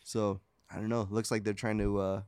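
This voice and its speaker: A man's voice: on the low side, flat delivery, a little fast, crowd noise. A man co-hosting a casual gaming chat in an understated, tentative manner. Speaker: podcast co-host.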